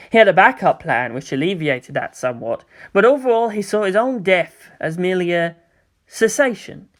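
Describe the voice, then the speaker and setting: A man reading scripted third-person fiction as the narrator of a story. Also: fairly high pitch.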